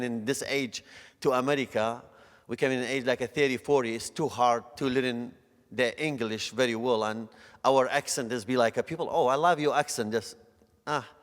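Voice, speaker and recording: A middle-aged man from Northern Iraq with a Kurdish accent and broken English, giving an earnest, halting church testimony. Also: mid-range voice. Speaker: guest speaker. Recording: long church hall reverb.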